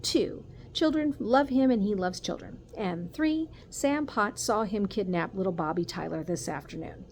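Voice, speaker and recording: Serious storybook police chief father read by a woman, calm, methodical, gentle, slightly noisy recording. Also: mid-range voice, normal speed, few pauses, natural voice. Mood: measured. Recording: noticeable background noise.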